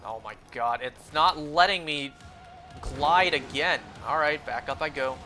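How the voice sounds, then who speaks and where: Bright-voiced young North American male game streamer. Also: a little high, measured pace, faint music behind. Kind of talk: casual chat while playing a video game live.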